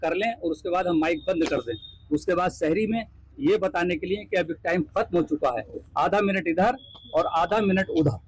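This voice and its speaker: A man's voice: high-pitched, poor audio. A man making an appeal to the press, repeating himself. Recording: on-location clip, faint noise.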